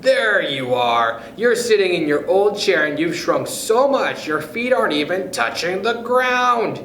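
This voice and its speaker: A man voicing a gleefully cruel, scheming husband from a children's storybook, taunting with drawn-out emphasis. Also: high voice, big pitch swings, a bit bright, reverberant, a little hiss or hum, pitched above the performer's own voice, heavily acted, low-quality recording. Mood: alarmist, taunting.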